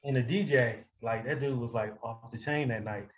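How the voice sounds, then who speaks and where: An American man telling stories casually in an interview, profane and quick to laugh. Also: dull audio. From Milwaukee, United States. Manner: animated, profane, breaks often into high-pitched laughter. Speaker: hip-hop artist being interviewed. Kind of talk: casual anecdotal storytelling.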